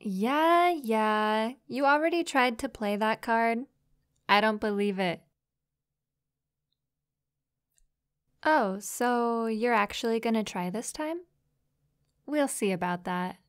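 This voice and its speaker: A young succubus acted by a woman speaks softly and slowly in an intimate roleplay drama. Her voice is smooth and a little high. She comes across as sweet, curious and playful, as if new to the human world. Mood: playful, competitive. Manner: soft, playful, sweetly inquisitive. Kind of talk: intimate roleplay audio drama, addressed to the listener.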